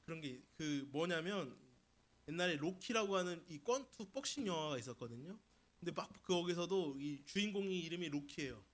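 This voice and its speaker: A man's voice: fairly high pitch, animated, moderate pace. A man preaching a Sunday sermon as a pastor, telling stories and exhorting with emphatic delivery. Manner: emphatic, rising into forceful declarations then easing into explanation.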